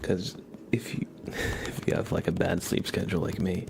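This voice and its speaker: Low, gravelly soft-spoken man. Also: fairly even intonation, moderate pace, background noise. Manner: rambling, gentle, often slipping into whisper. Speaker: ASMR livestream host. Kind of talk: soft-spoken ASMR with casual livestream chat.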